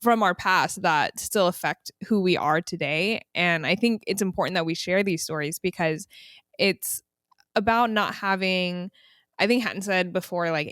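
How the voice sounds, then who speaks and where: A woman's voice: lowish voice, a bit bright, dry recording, clean studio sound. A young adult woman from the United States co-hosting a podcast with her siblings, speaking reflectively with frequent "like" fillers. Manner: reflective, sprinkled with "like". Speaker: podcast co-host. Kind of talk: casual chat among siblings.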